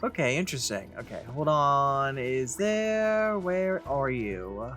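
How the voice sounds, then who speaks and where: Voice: mid-range voice, very animated, slow pace, a bit bright. A let's play host giving casual game commentary, muttering reactions aloud along the way. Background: game music.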